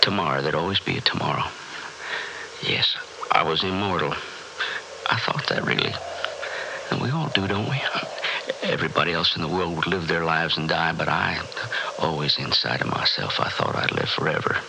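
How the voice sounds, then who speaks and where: An actor in a 1960s radio drama playing a shaken, brooding businessman who faces his numbered days, speaking in halting, pensive, broken phrases. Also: low voice, vocal fry. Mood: stunned realization. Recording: very noisy old radio drama recording.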